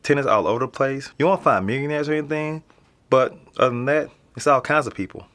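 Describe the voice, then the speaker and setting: A man's voice: medium pitch, fast, fairly bright tone, close to an everyday voice. A young man with an American accent playing a casual, slangy, streetwise teenage boy being interviewed in a naturalistic audio drama. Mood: offhand. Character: nonchalant streetwise teenage boy living in an apartment building.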